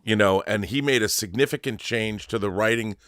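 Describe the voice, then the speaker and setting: A man's voice: on the low side, a little fast, a bit bright, no echo, studio quality. An American man, effusive and theatrical, hosting a casual fan interview show. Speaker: talk show host. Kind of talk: showman intro, then casual fan interview.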